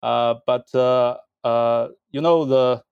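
A man's voice: medium pitch, fairly even intonation, takes it slowly, gravelly, smooth, no echo, dull audio. A man from northern China, a podcast guest speaking English as a second language in short sentences with hesitant fillers. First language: Chinese. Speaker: guest, online seller.

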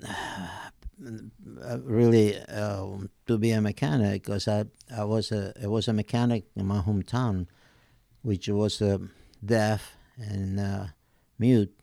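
An Italian man of eighty with an Italian accent, telling his life story in halting, hesitant English as an interview guest. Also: fairly low pitch, fairly even intonation, vocal fry, dark tone, dry recording, studio quality. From southern Italy. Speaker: podcast guest, retired tailor.

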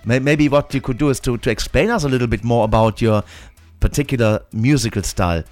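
A man's voice: mid-range voice, brisk, a little mellow. A man co-hosting a radio interview, stammering and repeating words as he relays questions. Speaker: radio co-host.

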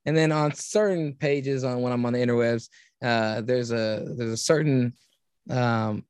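A man talking casually on a podcast, rambling and halting with many restarts. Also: mid-range voice, steady tone, darkish tone, clean tone, no echo, studio quality.

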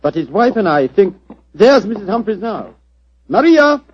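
A man playing a courteous but money-minded theatre manager in an old radio drama, with a slightly husky, frank delivery. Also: high voice, big pitch swings, normal speed. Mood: frank, uneasy. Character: polite, mercenary theatre business manager. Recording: dull vintage radio recording.

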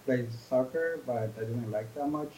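Ecuadorian-accented man, earnest and halting. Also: medium pitch, warm tone, slight background noise, rough recording. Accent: Ecuadorian Spanish. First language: Spanish. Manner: earnest, halting, searching for words. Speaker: guest runner. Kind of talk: podcast interview.

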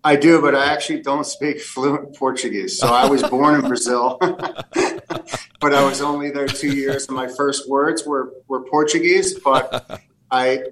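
A man's voice: medium pitch, steady tone, measured pace, fairly bright tone, recorded from a distance, slight background noise, low-quality recording. A man in his fifties from the United States, answering interview questions in a casual, rambling way with many fillers and restarts. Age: 50s. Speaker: soccer technical director.

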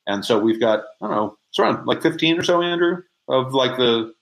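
A man's voice: medium pitch, animated, fairly quick, low-quality recording. A man speaking casually as an interview guest, explaining things with frequent restarts and doubled phrases. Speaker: interview guest, prop and audio drama maker.

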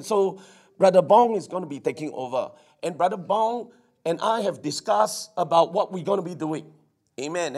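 A middle-aged man with a Singaporean English accent, preaching and praying fervently, his voice hoarse from preaching. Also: high voice, darkish tone. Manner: fervent, repetitive, peppered with "Amen". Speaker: pastor. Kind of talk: sermon with prayer. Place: church service.

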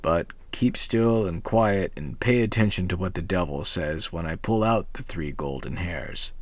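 A man doing a soft-voiced old grandmother. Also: sits low, a little slow, no room sound. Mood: hushed. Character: old grandmother, gruff but kindly.

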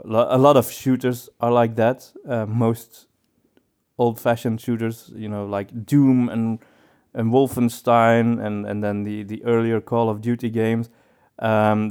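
A man co-hosting a casual podcast, speaking in a halting way as he thinks aloud. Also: mid-range voice, flat delivery, a little mellow, smooth. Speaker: podcast co-host.

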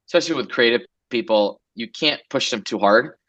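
A man's voice: mid-range voice, fairly quick, a bit bright, dull audio. A man in his forties from Los Angeles, a guest entrepreneur chatting casually in an interview, loose and rambling with many fillers.

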